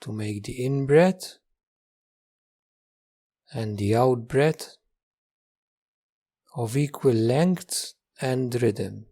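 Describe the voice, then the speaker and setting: A man leading a guided meditation as its teacher, giving gentle instructions in a calm, measured way with repeated phrases and long pauses. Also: medium pitch.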